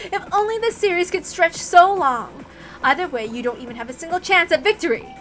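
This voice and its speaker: A woman's voice: extremely high pitch, a little slow, pushed and bright, soft background music, voice pushed up, heavily acted. A woman voicing a villainous, condescending witch in a dramatic story reading, haughty and mock-polite with cackling laughter. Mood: scornful. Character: gloating villainous female witch Vergilion, mocking and condescending.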